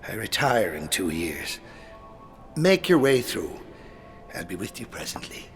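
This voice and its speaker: An actor playing an older priest in a radio comedy, wry, teasing and theatrical. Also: male voice, lowish voice, sing-song, measured pace, faint music behind. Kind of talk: British comedy radio play acting.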